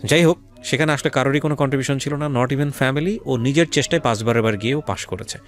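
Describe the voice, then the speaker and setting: A man's voice: mid-range voice, fast, soft background music. A man working as a radio host, interviewing a guest and explaining points emphatically to listeners. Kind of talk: life-story interview, explaining and prompting.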